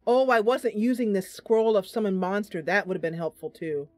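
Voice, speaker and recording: A woman's voice: medium pitch, animated, moderate pace, a little mellow. A woman streaming a game, casually commentating live and thinking aloud as she chats with her viewers.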